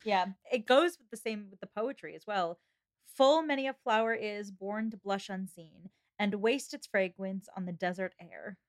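A woman co-hosting a casual book chat, playful and quick to put on a bubbly voice for jokes. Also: mid-range voice. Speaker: podcast co-host. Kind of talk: casual chat about a novel.

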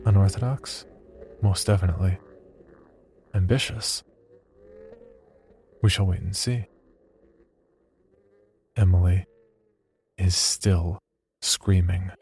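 A man playing a cold, ambitious psychologist reading a research diary in measured, detached horror narration. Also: deep voice, fairly even intonation, gravelly, a little mellow, breathy edge, heavily acted. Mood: clinical, brooding. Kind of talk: dramatic first-person horror narration.

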